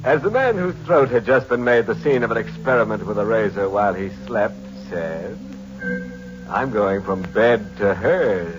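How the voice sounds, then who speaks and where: An actor playing a macabre, tongue-in-cheek host of an old radio horror show, sly and theatrical. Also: male voice, fairly low pitch, animated, normal speed, close to an everyday voice. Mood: gleefully macabre. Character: macabre, tongue-in-cheek male horror host who relishes dark puns. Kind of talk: 1940s radio horror hosting and narration. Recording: dull old radio recording with music.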